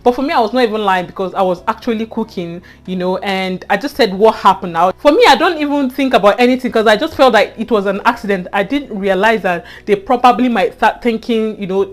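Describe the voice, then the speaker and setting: A young Nigerian woman with a Nigerian accent, earnestly telling a personal story to her viewers and often repeating herself and adding "you know". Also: medium pitch. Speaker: vlogger. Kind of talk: casual storytelling to camera.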